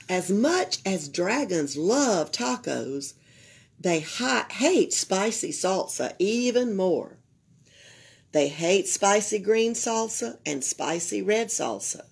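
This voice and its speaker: Library storyteller: older woman, Southern US accent, creaky raspy voice, leisurely expressive read-aloud for children. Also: mid-range voice. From Alabama, United States. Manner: expressive, pausing to comment to young listeners. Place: indoors at a library table.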